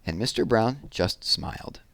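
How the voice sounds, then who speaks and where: Male storyteller, low voice, lively children's read-aloud, dry close recording. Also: unhurried, vocal fry, no echo. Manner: gentle, expressive storytelling.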